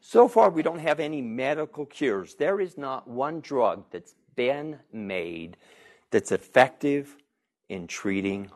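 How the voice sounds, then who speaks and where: A man's voice: mid-range voice, expressive, slow pace, a little mellow. A man, a physician, giving a conversational public health talk, repeating audience questions and asking the room rhetorical questions.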